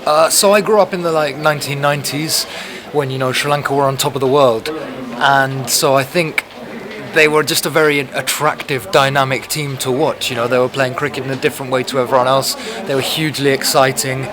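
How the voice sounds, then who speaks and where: A man's voice: mid-range voice, steady tone, a little fast. A man in his thirties, an author being interviewed, talking casually and thoughtfully with many fillers. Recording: crowd chatter behind.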